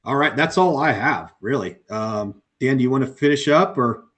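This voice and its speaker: A man presenting a webinar as a company co-founder, walking through a software demo at an easy, unhurried clip. He keeps it conversational and fills the gaps with ums and you-knows. His voice has worn a little hoarse from talking so long. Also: medium pitch, lively intonation. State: voice going hoarse. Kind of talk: casual walkthrough of a software demo.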